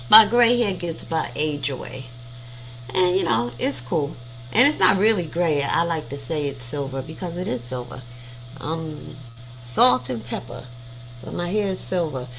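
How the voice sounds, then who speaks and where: A woman's voice: fairly low pitch, a bit bright, slight background noise, rough recording. A woman in her fifties hosting a casual livestream chat, rambling and chatty as she thanks viewers by name. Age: 50s. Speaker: livestream host.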